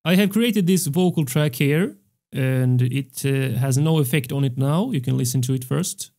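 A young man hosting a music production tutorial, explaining step by step in a measured way into a close studio mic. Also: medium pitch, warm tone. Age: young adult. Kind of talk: tutorial narration. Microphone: close studio microphone on a stand.

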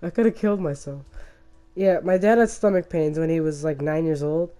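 A man with a slight cough and a voice worn down by illness, telling a rambling story over his gameplay, halting and restarting often. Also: on the high side, steady tone, dark tone, clear, resonant tone. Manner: halting, many restarts and fillers, laughs at himself. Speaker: gamer commentating his own gameplay.

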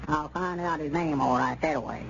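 A man's voice: fairly high pitch, normal speed, vocal fry, fairly bright tone. A man playing a gullible, defensive old country storekeeper with a rural Arkansas accent in radio comedy, folksy and flustered. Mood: indignant. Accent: Southern US, rural Arkansas. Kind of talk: 1930s radio comedy acting. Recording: muffled, noisy 1930s radio recording.

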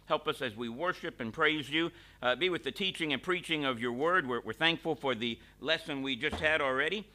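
A middle-aged man, a pastor, leading a church service from a lectern, praying and giving announcements in a relaxed, conversational way with many pauses and restarts. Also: a little high. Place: small church hall, at a lectern. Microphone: lectern microphone.

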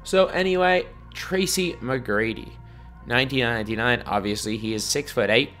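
A young man, hoarse and losing his voice, chatting loosely through his own video game play as a YouTuber, rambling and repeating himself. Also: medium pitch, moderate pace, faint music behind. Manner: rambling, energetic, repeats "so anyway". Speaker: gaming YouTuber. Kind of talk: casual video game commentary.

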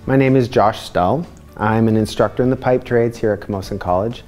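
A middle-aged man, a pipe trades instructor, speaking in a measured way with some hesitations in a studio interview. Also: fairly low pitch, darkish tone, faint music behind. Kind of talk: testimonial interview. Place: studio with dark backdrop. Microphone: lapel mic.

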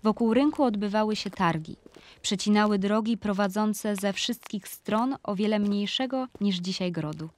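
A woman with a medium-pitched voice narrates an audio play. She reads scripted, literary prose as a storyteller recalling a place. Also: moderate pace, a little mellow.

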